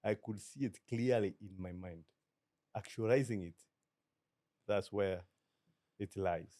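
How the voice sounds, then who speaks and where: A middle-aged Kenyan man with a Kenyan accent, a business guest talking in a measured, reflective way and slipping into Swahili. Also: fairly low pitch, dark tone, dry recording, studio quality. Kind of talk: relaxed interview talk.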